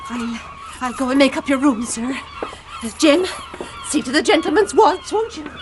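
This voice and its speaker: A flustered innkeeper's wife in a radio play, her voice high, hesitant and eager to oblige, with clear noise behind her. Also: lively intonation, normal speed. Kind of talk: radio drama acting.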